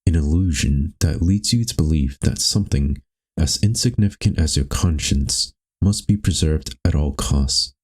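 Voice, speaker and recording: A man reading scripted second-person narration for a dark existential story in a solemn, measured, brooding manner. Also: rumbling low, slightly rough.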